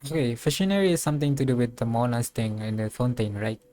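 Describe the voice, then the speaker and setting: A man giving casual playthrough commentary as a game streamer, musing aloud and thinking things through haltingly. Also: mid-range voice, expressive, dark tone, no room sound. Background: game music.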